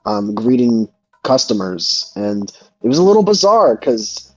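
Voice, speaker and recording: A dull, lo-fi recording of a man with a mid-pitched voice in casual discussion. He speaks haltingly, thinking aloud, over faint music. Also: sing-song, darkish tone. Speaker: guest in a gaming discussion, aspiring voice actor. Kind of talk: casual chat.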